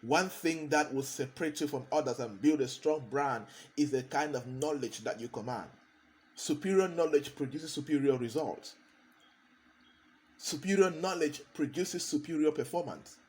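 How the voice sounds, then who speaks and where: A man's voice: fairly high pitch, normal speed, a little mellow, rough recording. A man, a consultant giving a motivational talk, hammering points home by repeating key phrases. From Cameroon.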